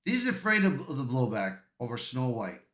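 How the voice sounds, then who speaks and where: A man hosting an amateur news commentary video, reading aloud and reacting in a halting way, stumbling and repeating words. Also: mid-range voice, big pitch swings, a bit bright. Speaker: YouTube commentary host. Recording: echoey, roomy sound.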